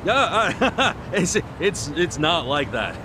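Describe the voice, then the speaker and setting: A man's voice: on the high side, very animated, slow, background noise. An actor voicing a good-natured, plain-spoken, loyal man in a video game, talking casually. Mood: sheepish, hesitant. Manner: casual, colloquial. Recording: music behind the voice.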